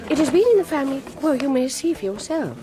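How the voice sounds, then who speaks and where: A woman's voice: high voice, lively intonation, fast, background noise. An actress playing a scheming, haughty middle-aged aristocratic mother in a period radio drama, with an arch, insinuating manner. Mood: imperious, coy. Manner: arch, insinuating, mock-reluctant.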